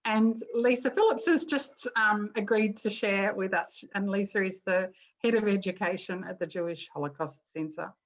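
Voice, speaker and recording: A woman's voice: medium pitch, moderate pace. A woman hosting an online education session, chatty and full of ums and restarts. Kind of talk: casual spoken introduction. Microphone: video call. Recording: muffled video-call audio.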